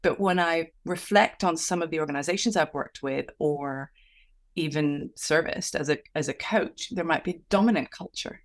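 A woman's voice: low voice, moderate pace. A woman coach and author, born in Venezuela, giving reflective interview answers with frequent restarts. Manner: reflective, with many restarts and fillers.

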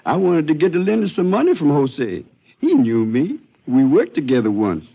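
A high-voiced, earnest little drifter, pleading and eager to be believed. His husky voice swoops up and down in a deferential, folksy way. It sounds like a muffled 1950s radio western. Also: sing-song, moderate pace, heavily acted. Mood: earnest, defensive. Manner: folksy, deferential, ungrammatical drawling.

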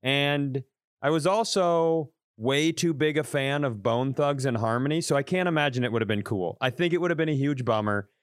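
A man co-hosting a podcast, joking in a wry, quick way during casual banter. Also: medium pitch. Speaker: podcast co-host.

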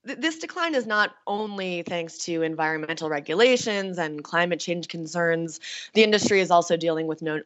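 A woman, a reporter explaining things in a measured news interview manner, stressing key words. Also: fairly low pitch, a bit bright, lo-fi sound. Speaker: energy reporter.

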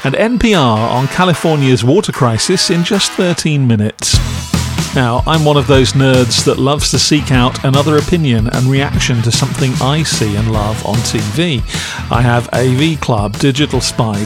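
A man's voice: medium pitch, no room sound. A man hosts a podcast, reading a scripted review in a chatty, opinionated way with wry asides. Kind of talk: scripted review narration, conversational.

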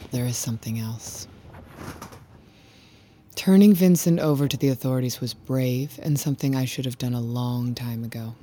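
An actress playing a composed, controlled company boss in a naturalistic audio drama, measured, polished and coolly reassuring. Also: female voice, a bass voice, warm tone, a little hiss or hum. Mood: candid, measured.

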